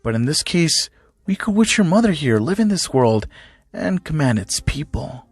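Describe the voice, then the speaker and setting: A man voicing a cruel, sneering villainous father who speaks in a deliberate, mocking way. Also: on the high side, sing-song, breathy edge, no room sound, exaggerated voice. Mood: coaxing.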